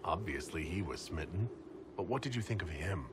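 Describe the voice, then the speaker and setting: An actor playing a composed, sympathetic warrior leader in a fantasy game, speaking plainly and politely. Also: male voice, low voice, animated, normal speed, noisy background. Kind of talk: game protagonist dialogue.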